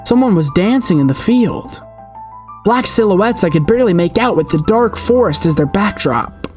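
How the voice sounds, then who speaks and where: A high-pitched male voice performs dramatic horror narration from a video game. He reads theatrically, building suspense, with music playing softly behind him. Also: lively intonation, normal speed.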